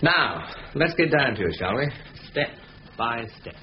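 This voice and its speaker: A man's voice: mid-range voice, very animated, unhurried. An actor in a 1970s radio drama playing a patient ringleader who briefs his thieves in short, clipped instructions. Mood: instructing. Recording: muffled old radio broadcast with hiss.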